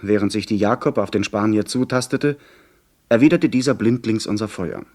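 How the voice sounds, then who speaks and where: Low-voiced young adventure narrator. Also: male voice, normal speed, a little mellow. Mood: vivid, tense. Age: young adult. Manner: literary, dramatic storytelling. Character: young gentleman narrator of a pirate adventure, earnest. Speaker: narrator and character. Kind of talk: scripted radio play narration.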